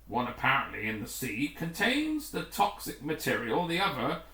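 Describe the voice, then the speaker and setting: A man reading the part of a grim, authoritative rescue commander in a storybook, formal and deliberate. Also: medium pitch, huge pitch swings, pushed and bright, recorded from a distance. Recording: roomy, echoing room.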